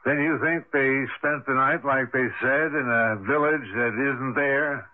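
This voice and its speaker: An actor playing a skeptical, brusque official in a vintage radio drama. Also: male voice, medium pitch, fairly even intonation, a little slow, lightly acted. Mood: dismissive, doubting. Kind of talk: 1970s-80s radio drama acting. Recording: narrow-band vintage radio broadcast.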